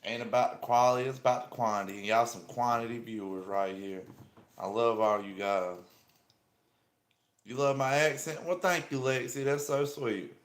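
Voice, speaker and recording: A man's voice: mid-range voice, steady tone, normal speed. A man with a Southern US accent from South Carolina, hosting a live stream and chatting casually with viewers in a laid-back, friendly way, using an earpiece microphone indoors. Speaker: livestream host. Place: indoor room. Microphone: small earpiece microphone. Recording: echoey room with faint ambient noise.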